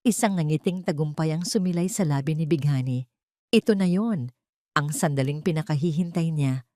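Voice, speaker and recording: A woman's voice: low voice, normal speed, dark tone, dry recording, studio quality. A woman narrator reading a scripted story in a dramatic, suspenseful way.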